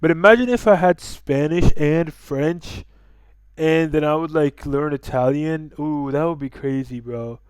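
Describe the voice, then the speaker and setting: A man's voice: fairly high pitch, unhurried, clean tone, no echo. A young man giving casual, slangy reaction commentary in an informal way. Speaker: reaction video host.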